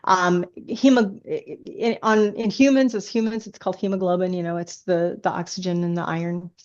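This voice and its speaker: A woman with a fairly low voice talks as a guest author in a casual interview. She explains things with enthusiasm and an easy conversational flow. The recording is a little dull and lo-fi. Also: steady tone, a little fast, a little mellow. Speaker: guest, science fiction author.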